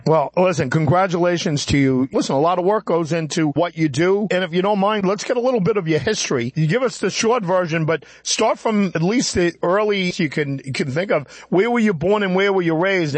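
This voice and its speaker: A man's voice: sits high, fairly quick, few pauses, dry recording. A man hosting a radio interview show, talking in an upbeat, effusive way.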